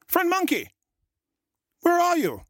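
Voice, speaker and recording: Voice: mid-range voice, very animated, unhurried, no room sound, clean studio sound, voice pushed up. A storyteller voicing a gullible, simple-minded shark in a children's tale. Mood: calling, worried. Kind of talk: storybook reading.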